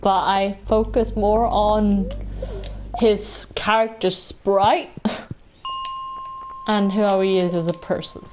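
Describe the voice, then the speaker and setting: Slow, rambling young woman. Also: medium pitch, flat delivery, darkish tone, a little hiss or hum, rough recording. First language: Welsh. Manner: rambling, full of "like" and restarts, giggly. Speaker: streamer. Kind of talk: casual chat while playing a game. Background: game music.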